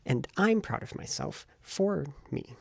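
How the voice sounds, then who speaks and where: Male writing vlogger, casual and effusive, fry-laden husky voice, close dry sound. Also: a little high, sing-song, takes it slowly, darkish tone. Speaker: novelist vlogger documenting writing progress. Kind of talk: casual vlog chat to camera.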